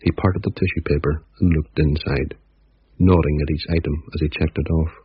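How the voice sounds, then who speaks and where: A man narrating a ghost story in a measured, gently wry reading. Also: a bass voice, fairly even intonation, dull audio, slight background noise.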